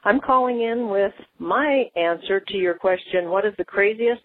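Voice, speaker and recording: A woman's voice: mid-range voice, no echo. A woman from Washington state calling in to tell a story, posing rhetorical questions along the way. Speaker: caller. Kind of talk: phone-in message. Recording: dry phone line.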